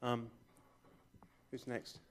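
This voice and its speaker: A man's voice: medium pitch, completely flat delivery, very slow. A man presenting and informally moderating a conference discussion.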